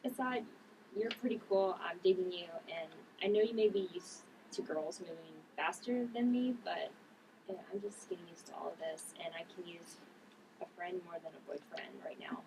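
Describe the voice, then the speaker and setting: Gentle, guarded young woman in grief actress at a table read, lower register, noisy room. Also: moderate pace, lightly acted. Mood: shy, candid. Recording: distant mic, clear background noise.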